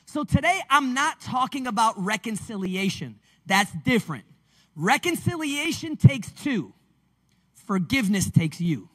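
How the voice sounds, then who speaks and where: A man, preaching a lively, conversational sermon on a church stage into a handheld mic. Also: very high voice, measured pace. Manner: animated, conversational preaching with call-and-response. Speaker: pastor. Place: large church stage with screens. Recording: long reverb of a large hall.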